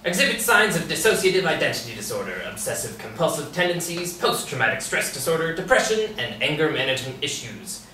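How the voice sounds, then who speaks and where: A man reading a composed asylum doctor, bright. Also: a little high, big pitch swings, fairly quick. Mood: clinical. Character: composed male asylum doctor, calm and in charge. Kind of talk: table read. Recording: roomy echo, distant mic, faint hiss.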